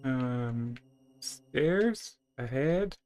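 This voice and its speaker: A man's voice: mid-range voice, animated, drawn-out words, darkish tone, clean tone, soft background music. A man playing a video game, reading its text aloud dramatically with stumbles and casual commentary. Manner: theatrical, stumbles over written text. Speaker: video game player and streamer.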